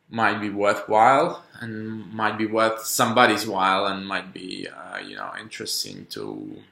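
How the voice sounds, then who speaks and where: A man in his thirties talking through a rambling solo podcast monologue, halting and musing, full of restarts and fillers. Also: lowish voice, creaky, bright, forceful tone. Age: 30s. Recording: echoey room.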